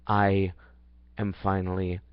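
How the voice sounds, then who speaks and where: Young guy reading his life story out loud, kinda low voice, earnest, dull dry lo-fi mic. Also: completely flat delivery, takes it slowly, clean tone, dry recording. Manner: earnest, plain reading with restarts. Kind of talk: reading a personal story aloud.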